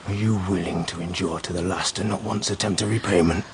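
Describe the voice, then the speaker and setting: An actor playing a desperate, vengeful, ruined father in a radio drama, brooding and intense, with a gravelly voice. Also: sits low, fairly even intonation, brisk, noisy background, natural voice. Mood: menacing.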